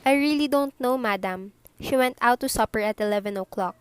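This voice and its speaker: A courteous female receptionist acted by a woman in a radio drama, with a fairly high voice. She speaks formally and evenly, detached from any fuss. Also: brisk, smooth, natural voice. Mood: unmoved. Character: polite, unmoved woman receptionist at a nursing registry. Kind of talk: radio play acting.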